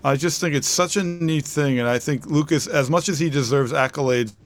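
A man's voice: on the high side, a little fast. Earnest, rambling man on a podcast. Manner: earnest, rambling with repeated words. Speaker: podcast co-host. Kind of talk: casual podcast discussion.

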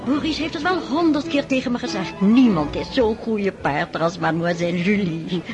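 A woman's voice: medium pitch, lively intonation, normal speed, a crowd in the background, close to an everyday voice. Woman playing a pushy aristocratic mom, coaxing and gushy, music in the back of the radio play. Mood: gossipy. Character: scheming aristocratic mother, middle-aged woman. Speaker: mother of Boris. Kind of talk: radio drama acting.

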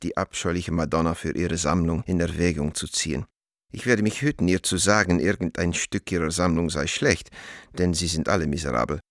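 An actor playing a warm, nostalgic middle-aged art dealer reading out his letters in a radio play, with expressive, articulate delivery. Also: low-pitched, no room sound, studio quality. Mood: wry, pleased.

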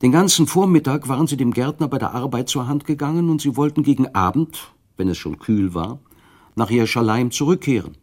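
A man narrating a literary radio play, measured and expressive, rising with the drama. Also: mid-range voice.

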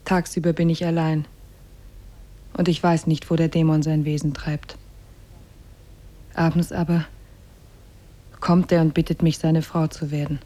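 An actress in a 1950s German radio drama playing a devoted, cunning captive wife with soft, earnest delivery. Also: female voice, low-pitched, level tone, unhurried, pauses often. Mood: confiding, resolute. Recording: noisy 1950s radio recording.

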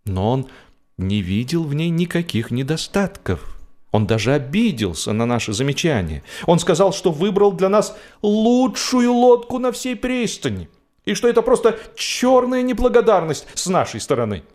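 A man narrating a humorous literary classic in an expressive, theatrical and wry way, with deliberate phrasing. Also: a little high. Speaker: audiobook narrator and actor. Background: music.

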